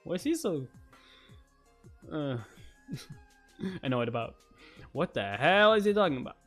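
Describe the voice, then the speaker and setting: A man's voice: a little high, big pitch swings, measured pace. A young man reading a comic aloud and reacting casually on a live stream. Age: young adult. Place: indoors, at a streaming setup. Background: music.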